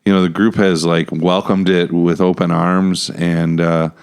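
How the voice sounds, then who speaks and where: A man's voice: low-pitched, flat delivery, unhurried, clear, resonant tone. A man with an American accent hosting a casual podcast conversation in an informal, conversational way. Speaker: podcast host, pastor.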